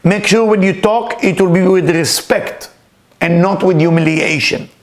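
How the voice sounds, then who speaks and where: A man's voice: high-pitched, a little slow. A man, a Hebrew speaker, giving an earnest, emphatic weekly spiritual talk. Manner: earnest, emphatic, repeats key words. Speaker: spiritual teacher.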